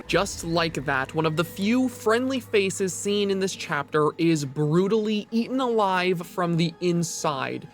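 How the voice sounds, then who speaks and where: A man's voice: sits high, animated, measured pace, hardly any pauses. A man reading a scripted, dramatic narration in an ominous, solemn manner as a video narrator. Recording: music behind the voice. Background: background music.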